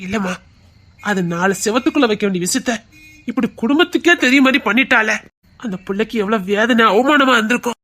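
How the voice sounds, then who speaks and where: A man's voice: extremely high pitch, quick pace, bright, forceful tone, full character voice. An actor playing a stern, principled father who firmly scolds his daughter. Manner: firm, scolding.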